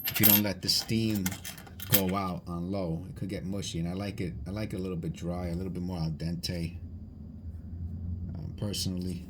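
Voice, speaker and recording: A man's voice: low-pitched, flat delivery, moderate pace, heavy background noise. A man chatting casually and rambling as he sorts coins, musing aloud and often repeating or correcting himself. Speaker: coin hunting video host. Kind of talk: rambling casual chat while sorting coins.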